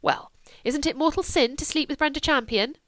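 A woman's voice: high-pitched, moderate pace, a bit bright, no echo, studio quality, voice pushed up. A woman voicing a spirited, headstrong young aristocratic woman who talks in an impetuous, petulant way. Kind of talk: audiobook character voice.

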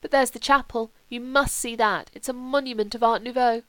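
A woman's voice: a little high, fairly even intonation, brisk, fairly bright tone, no room sound, pitched above the performer's own voice, close to an everyday voice, low-quality recording. A woman voicing a moody, wry young upper-class male student. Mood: offhand. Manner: languid, wry, turning curt. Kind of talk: audiobook character voice.

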